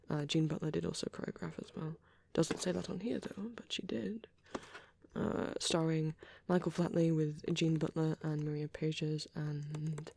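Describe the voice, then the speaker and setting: A man playing a shy, soft-spoken vampire boy in an intimate ASMR roleplay, rambling hesitantly and bashfully close to the microphone, often near a whisper. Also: fairly high pitch, gravelly, a little hiss or hum. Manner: hesitant, bashful, softly nostalgic, often trailing off.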